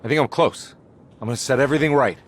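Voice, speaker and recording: An actor playing a weary, haunted private detective in a horror video game, terse and wry but dogged. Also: male voice, medium pitch, fast, slight background noise, natural voice. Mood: dogged, troubled. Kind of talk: dramatic video game cutscene acting. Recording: music under the voice. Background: game music.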